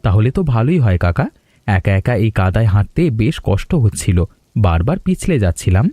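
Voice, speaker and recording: A man's voice: lowish voice, big pitch swings, fairly quick, mellow, no echo. A man voicing a polite, patient stranded traveller in a cartoon story, speaking courteously. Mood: polite, weary.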